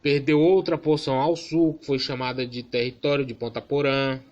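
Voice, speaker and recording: A man's voice: mid-range voice, steady tone, normal speed, a little mellow, muffled recording. Casual man teaching geography. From Maranhão, Brazil. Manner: informal, addresses class as "galera", self-correcting. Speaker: student teacher. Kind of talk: online geography class.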